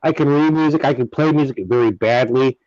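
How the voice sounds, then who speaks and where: A man's voice: mid-range voice, a little fast, a little mellow, no room sound, lo-fi sound. A man chatting casually in an easygoing way, with drawling asides. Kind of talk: casual conversation.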